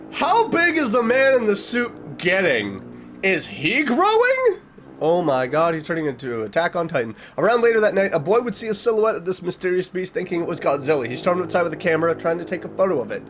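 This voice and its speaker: A man with a slightly high voice and very animated pitch gives casual reaction commentary as a video host. He reads aloud and reacts expressively, stretching words for emphasis. There is faint noise behind him.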